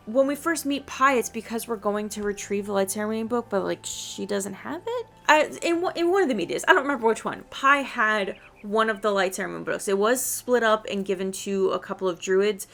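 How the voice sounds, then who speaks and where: A woman's voice: medium pitch, lively intonation, brisk, gravelly, hardly any pauses, fairly bright tone. A woman giving casual gameplay commentary as she plays. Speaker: gamer reading quest dialogue and commenting.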